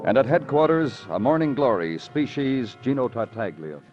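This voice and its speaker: A man's voice: mid-range voice, expressive, normal speed, dull audio, faint music behind, lightly acted. An actor playing a terse, brooding male homicide detective in 1950s radio noir style. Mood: lyrical, moody. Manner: clipped in dialogue, florid and lyrical in narration.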